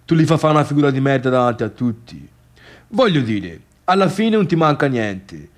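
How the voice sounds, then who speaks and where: An actor playing a chatty Tuscan villager with a strong local way of talking, grumbling and exclaiming through a theatrical monologue. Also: male voice, medium pitch, sing-song, normal speed, lightly acted. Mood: grumbling, offended. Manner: colloquial, grumbling, exclamatory storytelling. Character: chatty, gossipy Tuscan villager recounting a disastrous party. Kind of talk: theatrical comic monologue for radio drama.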